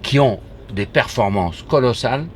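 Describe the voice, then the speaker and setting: A man's voice: mid-range voice, animated, normal speed. An older man interviewing a guest, articulate and building long explanatory sentences. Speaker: interviewer, motorsport journalist. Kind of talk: conversational interview. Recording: audible background noise.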